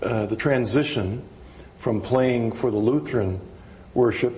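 An American man interviewing a guest, prompting him with short questions and steady affirmations. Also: medium pitch, unhurried, a little hiss or hum. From United States. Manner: brief affirming backchannels, supportive prompts. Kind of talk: conversational interview.

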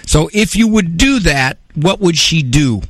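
A man's voice: a little high, animated, unhurried, fairly bright tone, a little hiss or hum. A man hosting a call-in advice radio show, speaking bluntly and emphatically with open exasperation.

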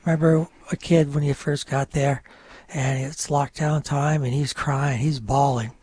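An older man whose first language is French, telling his life story in a relaxed, rambling interview with many fillers. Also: a little high, a little slow, slight background noise. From North Country of New York. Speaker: guest.

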